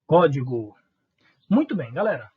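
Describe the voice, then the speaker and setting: A man's voice: fairly high pitch, big pitch swings, a little slow, slightly rough, phone-quality sound. A man from Northeast Brazil with a Northeastern accent, teaching a recorded online lesson in an informal way, asking questions and answering them himself. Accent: Northeastern Brazilian Portuguese.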